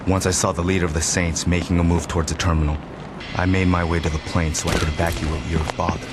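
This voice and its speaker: An actor playing a grim, dutiful crime lieutenant in a video game, speaking sternly and formally. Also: male voice, low voice, flat delivery, quick pace, natural voice. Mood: stern, controlled. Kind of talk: video game cutscene acting. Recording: very loud vehicle noise behind.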